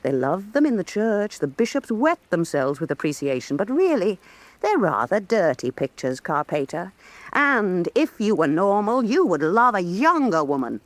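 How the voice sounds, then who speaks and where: An actress playing a proud, fierce, middle-aged woman painter and mother, sharp and scornful in a stage radio drama. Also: mid-range voice, big pitch swings, normal speed, no room sound, close to an everyday voice. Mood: cutting.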